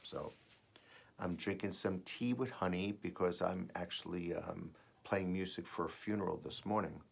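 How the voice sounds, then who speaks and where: A man with a raspy, slightly under-the-weather voice, reading and reflecting as host of an earnest spiritual message, with deliberate pauses. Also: low-pitched, steady tone, a little hiss or hum. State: voice rough while recovering from feeling unwell. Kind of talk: devotional reading and personal reflection.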